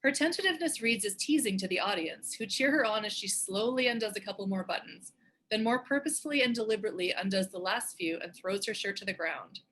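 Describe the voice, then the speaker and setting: A woman with a husky voice reading scripted stage directions as the narrator of a table read. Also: medium pitch, fast talker, fairly bright tone. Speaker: narrator and show co-creator.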